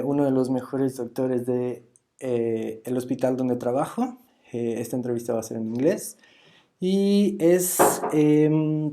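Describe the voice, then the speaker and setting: Mellow-voiced halting male interviewer. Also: medium pitch. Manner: hesitant, many "uh" fillers and restarts. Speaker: host and interviewer. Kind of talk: halting interview questions in two languages.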